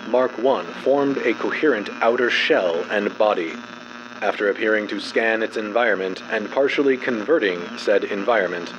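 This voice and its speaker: Male narrator, lowish voice, formal and clinical dramatic reading, clear hum in the recording. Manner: formal, measured, clinical delivery.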